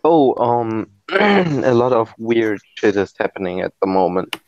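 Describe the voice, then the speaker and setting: A man with a lowish voice talks very slowly, stopping and restarting as he finds his words. His audio comes through dull and lo-fi, like a cheap computer mic on a voice chat. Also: darkish tone, dry recording. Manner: slow, halting, with many restarts and fillers. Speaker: podcast host. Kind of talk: casual group voice chat. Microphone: computer mic on a voice call.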